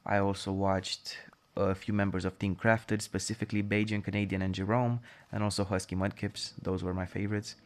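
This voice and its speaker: A man's voice: lowish voice, level tone, brisk. A young man speaking non-native English with British leanings, rambling through a casual solo monologue full of asides and self-corrections. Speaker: podcast host.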